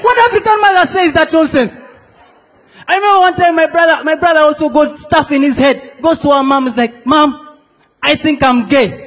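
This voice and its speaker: A young man from South Sudan works a comedy stage, playfully acting out the people in his stories. His voice sits very high for a man, and it rings with the long echo of a big hall. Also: moderate pace, a bit bright. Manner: playful, acts out quoted characters. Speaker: stand-up comedian. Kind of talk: stand-up comedy set. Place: comedy stage in a large hall before a laughing audience.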